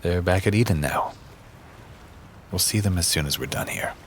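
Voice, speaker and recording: An actor playing an amiable, careful man travelling undercover, politely curious and gently coaxing, in an audio drama. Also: deep voice, moderate pace, slight background noise, natural voice. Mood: fond, easygoing.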